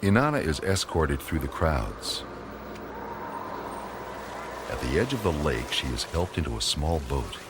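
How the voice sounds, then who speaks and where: A man narrating a scripted radio drama as a storyteller, with deliberate phrasing. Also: rumbling low, big pitch swings, noisy background. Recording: loud music under the voice.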